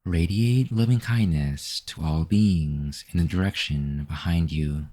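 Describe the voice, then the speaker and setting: Very deep-voiced man guiding meditation slowly. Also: mellow. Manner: measured, instructional. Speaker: meditation guide. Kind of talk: slow guided meditation narration.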